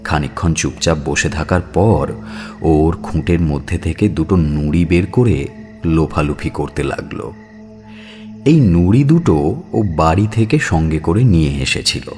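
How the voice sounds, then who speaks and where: A man narrating a scripted audio story in a measured, expressive storytelling manner. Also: extremely low pitch, faint music behind.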